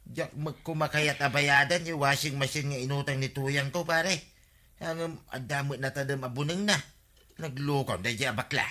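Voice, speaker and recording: A man's voice: mid-range voice, fairly even intonation, moderate pace, sharp tone, lightly acted. A man with an Ilocano accent playing a blunt, protesting village neighbour in a radio comedy drama. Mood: uneasy. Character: indignant stingy male village neighbour.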